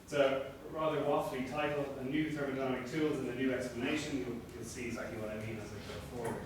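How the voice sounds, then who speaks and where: A young Irish man giving an academic talk as a lecturer, relaxed and self-deprecating, with frequent fillers. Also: medium pitch, fast talker. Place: seminar room. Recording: echoey room from far off, noisy.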